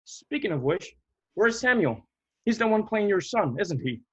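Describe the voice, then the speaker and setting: A man playing a steady, sensible, caring theatre troupe member, soothing and mildly scolding in an audio play. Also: high-pitched, moderate pace, husky, heavily acted. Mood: earnest.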